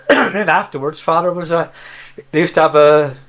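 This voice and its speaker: An older man with a Cornish accent talks in a casual, reminiscing way. His voice sits a little higher than most men's. He rambles from one story to the next, tagging phrases with "see" and "you know". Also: moderate pace, a bit bright. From Cornwall, England. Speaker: interviewee, local dialect speaker.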